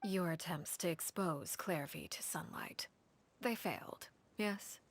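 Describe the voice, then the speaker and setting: An actress playing a cold, controlled head of a crime family in a video game, speaking in a measured, formal and calmly menacing way. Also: female voice, on the low side, whispered. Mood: calm, explanatory. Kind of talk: dramatic video game dialogue.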